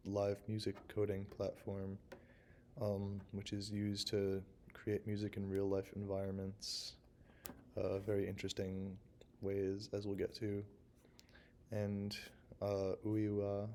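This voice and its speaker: A young man with a hoarse, froggy voice from a sore throat, giving a halting, unscripted class presentation as a university student. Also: lowish voice, a little mellow, a little hiss or hum. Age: young adult. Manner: halting, unscripted, frequent pauses. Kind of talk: recorded class presentation, screen walkthrough.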